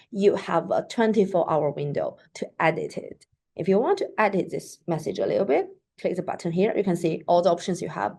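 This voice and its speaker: Low-voiced woman walking through an app. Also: mellow. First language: Mandarin Chinese. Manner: chatty, with frequent "like" and "so that is to say". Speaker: software demo presenter. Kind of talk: casual screen-share tutorial. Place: at a computer, recording her screen.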